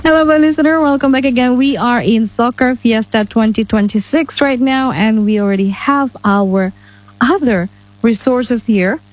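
A woman's voice: a little high, moderate pace. A woman hosting a casual radio interview in a studio, chatty, with frequent "uh" and tag questions. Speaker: radio host.

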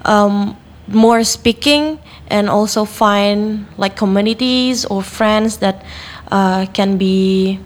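A woman's voice: medium pitch, slow pace, hardly any pauses. A woman with an Indonesian accent explaining her community group in a casual chat, mixing English and Indonesian with many fillers. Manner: switches between English and Indonesian, loose with fillers. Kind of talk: casual bilingual podcast chat.

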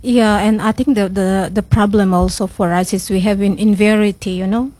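A woman with an Indonesian accent, a radio guest and English teacher, speaking in halting English with many restarts and fillers, and giggling often. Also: mid-range voice, steady tone, dark tone, no room sound. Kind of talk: casual radio chat.

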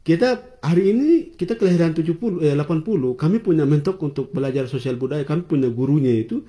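A man's voice: on the high side, lively intonation, quick pace, dark tone. A man, a guest explaining his points earnestly and emphatically in an interview. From Wamena, Papua, Indonesia. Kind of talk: conversational interview.

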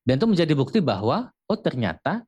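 A man's voice: fairly high pitch, very animated, quick pace, darkish tone. Indonesian man from explaining steadily. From Lampung, Indonesia. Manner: explanatory, frequent filler "gitu" and "ya". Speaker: guest speaker on regional language. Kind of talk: radio talk show conversation.

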